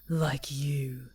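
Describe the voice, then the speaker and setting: A woman playing a proud, solemn female werewolf chieftain in an ASMR roleplay, speaking gravely and formally in a husky, breathy voice. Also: rumbling low, slight background noise, full character voice. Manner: slow, grave, formal pronouncements.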